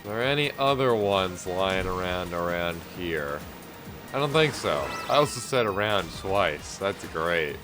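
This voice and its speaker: A man's voice: low-pitched, a little slow. Congested man rambling over game music. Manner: rambling, relaxed, lots of fillers. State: congested from a mild cold. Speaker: gameplay commentator. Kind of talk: casual let's-play commentary. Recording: loud game music behind voice.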